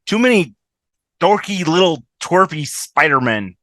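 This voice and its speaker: Male reader as selfish snarky woman, amateur drama read, high for a man, dry close sound. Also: very animated, measured pace, sharp tone, no echo, full character voice. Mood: defiant. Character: brash, selfish, sarcastic woman on a bender. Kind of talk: amateur script table read. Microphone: video call.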